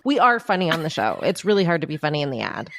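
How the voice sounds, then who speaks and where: A woman's voice: deep voice, sing-song, fast talker, loud music behind the voice. A woman podcast co-host reading a scripted promo for her show.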